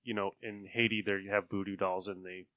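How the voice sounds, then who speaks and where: Casting: male podcast host, somewhat low pitch, crisp bright edge, conversational delivery, dry recording. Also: level tone, a little fast, no room sound. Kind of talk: casual podcast discussion.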